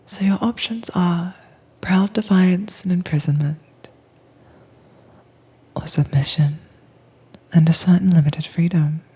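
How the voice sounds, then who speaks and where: A woman playing a calm, teasingly dominant werewolf tamer in a whispered, soft-spoken roleplay audio, with a breathy voice and a coaxing, commanding manner. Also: on the low side, unhurried, pauses often. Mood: coaxing, firm.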